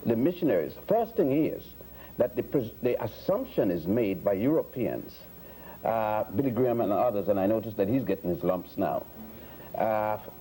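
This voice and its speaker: A man's voice: a little high, mellow, breathy edge, low-quality recording. A man in his sixties from Puerto Rico and the Virgin Islands, a guest historian answering interview questions in a lecturing way, piling up facts with many fillers and restarts.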